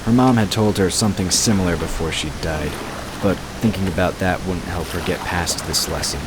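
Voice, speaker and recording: A man narrating a scripted fantasy story in a measured storytelling manner. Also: sits low. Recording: noisy, with water sound effects behind. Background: rushing water ambience.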